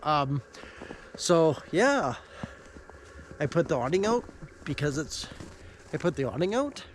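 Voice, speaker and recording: A man's voice: a little high, slow pace, a little hiss or hum, poor audio. Congested man vlogging at a snowy campsite. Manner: relaxed, rambling, with fillers and restarts. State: congested, nasal from a head cold. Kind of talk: casual vlog talk to camera.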